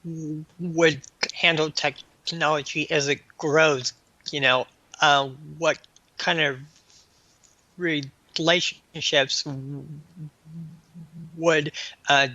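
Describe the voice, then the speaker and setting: Guy being interviewed, super slow and halting, stammers on words, dry but kinda muffled lo-fi mic. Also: a little high, fairly even intonation, sharp tone, no echo. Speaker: guest author and anthology editor. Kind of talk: interview answers.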